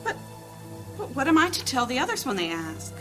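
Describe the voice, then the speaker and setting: A woman acting a caring, worried wife and mother in a fantasy audio drama, motherly, fussing and expressive. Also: on the high side, a little fast. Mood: worried, pressing. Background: music.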